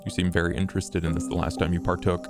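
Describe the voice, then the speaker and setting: A man with a gravelly voice doing improvised tabletop roleplay and narration in a measured, halting delivery. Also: deep voice, flat delivery, over loud music. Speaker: player in a tabletop roleplay podcast.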